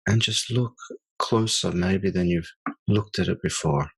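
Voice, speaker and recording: A man guides listeners through a mindfulness meditation. His voice sits fairly low with a breathy, husky grain, and he speaks slowly and softly, leaving long pauses between phrases. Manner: slow, soft-spoken, with long pauses.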